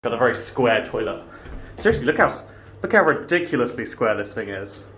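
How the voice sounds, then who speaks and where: A man's voice: mid-range voice, big pitch swings, fairly quick, a bit bright. A man vlogging through city streets and stations, making wry jokes to the camera on his phone. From England. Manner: wry, joking asides to the camera. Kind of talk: casual travel vlog commentary. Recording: phone recording with street noise.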